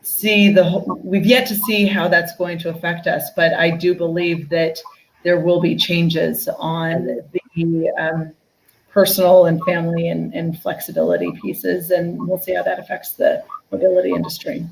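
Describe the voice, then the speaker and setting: A woman's voice: lowish voice, little pitch movement, moderate pace, gravelly, slight background noise, poor audio. A woman on a live online panel, giving upbeat career advice in a chatty way with many "you know"s, speaking over a video call.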